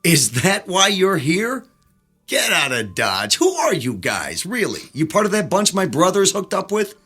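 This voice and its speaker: Bright-voiced, animated, exasperated man. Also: high-pitched, measured pace, slightly rough, soft background music, exaggerated voice. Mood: alarmed. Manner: sarcastic, exasperated. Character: uneasy, indignant ordinary man worried about his brother. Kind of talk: naturalistic radio drama acting.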